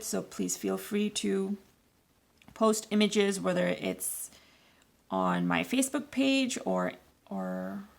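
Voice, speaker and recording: A woman with a raspy voice today, giving loose, rambling, self-correcting instructions in a casual watercolour tutorial. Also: medium pitch. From Canada. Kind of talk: casual painting tutorial voice-over.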